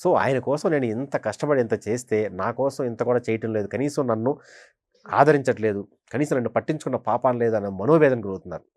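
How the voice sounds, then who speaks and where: A man's voice: medium pitch, a little fast, a little mellow, no echo, clean studio sound. A man giving conversational political commentary as a journalist, emphatically repeating phrases for stress. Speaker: senior journalist, political analyst.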